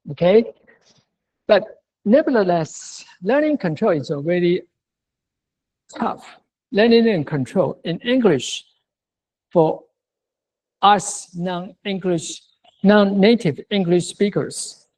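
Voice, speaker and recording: A lecturer from Taiwan whose first language is Mandarin gives a classroom lecture in English. They pause and restart often and close many points with a checking "okay?". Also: mid-range voice, a little mellow, rough recording. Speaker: university lecturer.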